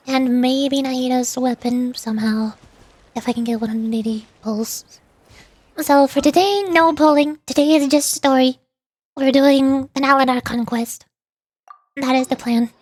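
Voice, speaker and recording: A woman streamer chatting casually about a video game in a rambling, playfully exasperated way, often halting and repeating words. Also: high voice, steady tone, darkish tone, dry recording. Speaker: streamer, VTuber. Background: background music.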